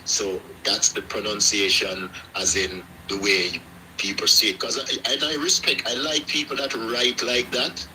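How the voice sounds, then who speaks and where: A man's voice: lowish voice, steady tone, measured pace, bright, forceful tone, slight background noise, rough recording. A middle-aged man from Jamaica with a Jamaican accent, hosting a casual live chat and telling long stories full of restarts. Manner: rambling, with many restarts and storytelling. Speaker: live stream host.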